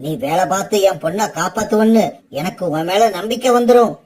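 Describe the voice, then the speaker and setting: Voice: medium pitch, a little fast, a little mellow, breathy edge, close to an everyday voice. Actor doing a cartoon bird parent, grown up, earnest n a bit stern. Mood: sceptical. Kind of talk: children's cartoon voice acting.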